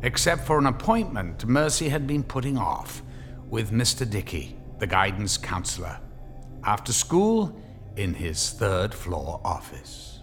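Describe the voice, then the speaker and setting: A man's voice: on the low side, big pitch swings, measured pace, over music. A man narrating a scripted dramatic story as the narrator of an audio drama.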